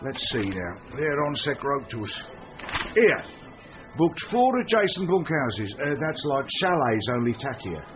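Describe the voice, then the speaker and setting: An actor playing a chatty, blustering, slightly shifty British holiday camp manager in a radio comedy mystery. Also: male voice, mid-range voice, big pitch swings, a little slow, music in the background. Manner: colloquial, jokey, blustering. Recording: dull lo-fi radio drama.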